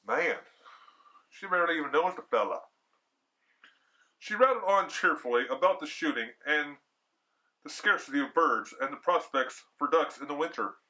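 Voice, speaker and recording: A man's voice: on the high side, expressive, moderate pace, pauses often, sharp tone, low-quality recording. A man reading a story aloud theatrically as a storyteller, with casual, slangy, chatty asides. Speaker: storyteller, horror show host.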